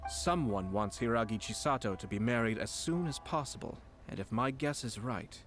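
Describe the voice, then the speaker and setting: An actor playing a calm, calculating nobleman in a video game, speaking in a measured, formal, deliberate way. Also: mid-range voice, lightly acted. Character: composed, cunning nobleman, calm and calculating commission head. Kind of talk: scripted video game dialogue. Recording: background music under the voice.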